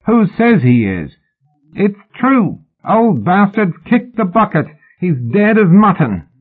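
Man acting an excitable, shrill young sidekick. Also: normal speed, slightly rough, narrow, tinny audio. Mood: defiant, excited. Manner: shrill, shaky, defiant. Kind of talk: audiobook character acting.

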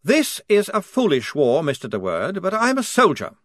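A man's voice: high voice, wildly swooping pitch, moderate pace, no echo, clean studio sound, voice pushed up. A man voicing a fussy, earnest young army lieutenant who talks in a stiff, formal, speechmaking way. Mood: resolute. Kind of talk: audiobook character voice.

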